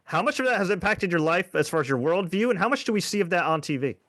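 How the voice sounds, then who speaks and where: A man's voice: sits high, expressive, fast talker, fairly bright tone, no room sound. A man hosting an interview, asking questions in an upbeat, friendly way, sometimes repeating words as he starts a sentence. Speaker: TV interview host.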